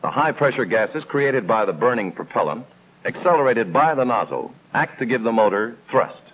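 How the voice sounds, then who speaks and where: An American man reading scripted instructional narration in the style of a 1950s military training film, explaining in a measured, didactic way with clear emphasis. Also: mid-range voice, very animated. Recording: tinny band-limited old film soundtrack, noisy.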